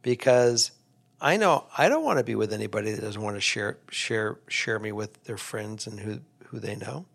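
Male podcast co-host, gravelly voice, halting and emphatic, casual advice chat. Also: animated, a bit bright. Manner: halting, restarts, emphatic swings in pitch.

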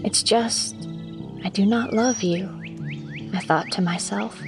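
A woman playing a proper, obedient young schoolteacher who reads her diary in formal, old-fashioned, measured diction as horror narration. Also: mid-range voice. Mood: prim, wistful. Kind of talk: dramatic first-person audio horror narration. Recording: studio narration over prominent music.

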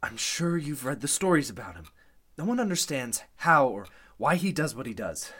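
Man acting husky, intense amateur sleuth. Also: mid-range voice, very animated, normal speed, fairly bright tone. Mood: hushed, insistent. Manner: intense, accusing. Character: suspicious, insistent man named Alan investigating a murder. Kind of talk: radio mystery drama in Golden Age manner.

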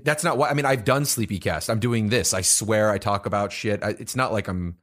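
A man's voice: on the low side, brisk, fairly bright tone. A man in his thirties co-hosting a podcast, talking casually and rambling with frequent restarts and fillers. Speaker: podcast co-host.

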